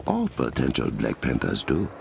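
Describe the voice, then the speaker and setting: A man playing a regal warrior king in a video game, speaking with an African accent in a measured, formal, commanding and dryly wry way. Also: a bass voice, huge pitch swings, creaky, noisy background, heavily acted. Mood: calm, reflective. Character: regal male warrior king, masked hero protecting his land. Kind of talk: video game dramatic voice acting. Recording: loud game music behind.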